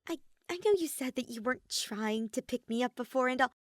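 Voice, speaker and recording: A woman's voice: high-pitched, animated, brisk, no echo, clean studio sound, voice pushed up. A woman voicing an earnest, cheerful teenage girl pianist who speaks eagerly and gets a little flustered.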